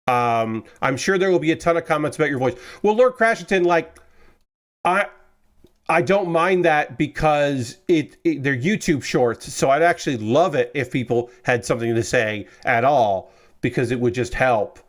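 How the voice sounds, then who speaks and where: A man's voice: fairly high pitch, normal speed. A young man casually chatting with his stream viewers while building model kits, rambling and correcting himself, a little congested after a cold. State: slightly congested, recovering from a cold. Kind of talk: casual livestream chat. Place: his room with window open.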